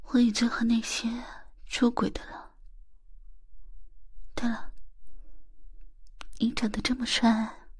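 A woman playing a sly, teasing young stepmother in a whispered, breathy audio roleplay, coaxing and drawn-out. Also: a little high, little pitch movement, exaggerated voice. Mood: sly, probing.

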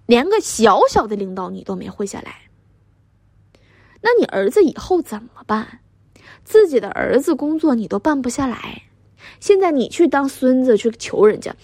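A woman playing a furious middle-aged wife and mother with a Northeastern Chinese accent and a husky voice, berating her husband in coarse, sarcastic language in a dramatic monologue. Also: on the high side, a little mellow, no echo. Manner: scolding, sarcastic, coarse swearing. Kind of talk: dramatic one-sided argument monologue.

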